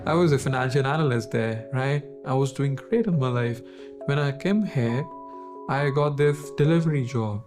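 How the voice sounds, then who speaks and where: Young guy with an indian accent, talking straight and a bit halting, music underneath. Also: medium pitch. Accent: Indian English. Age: 20s. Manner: candid, halting. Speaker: interviewee, delivery rider. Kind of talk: interview testimony.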